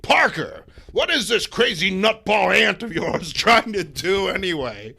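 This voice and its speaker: A man's voice: high-pitched, very animated, measured pace, gravelly, pushed and bright, breathy edge, pitched above the performer's own voice, full character voice. A man playing an irascible, bossy newspaper boss who bellows in exasperation, in a comic book reading. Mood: excited bluster.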